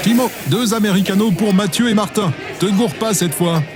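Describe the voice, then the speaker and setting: A man's voice: high voice, brisk, music in the background, natural voice. An actor playing a bossy, grumpy man in an audio drama, speaking brusquely.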